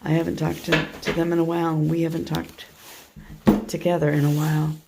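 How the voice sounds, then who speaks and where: A hoarse woman chatting through a tarot card reading, soft and meandering as she shuffles and lays out cards. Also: low voice, little pitch movement, brisk, heavy background noise. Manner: soft, meandering, half to herself while handling cards. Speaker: tarot reader. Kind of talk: casual tarot reading monologue.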